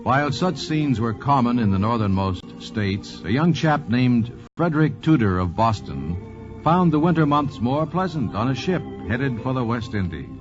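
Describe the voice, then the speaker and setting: A man's voice: mid-range voice, lively intonation, moderate pace. A man narrating a scripted 1940s radio program as host, with polished storytelling and dramatic emphasis. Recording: muffled 1940s radio transcription. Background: music.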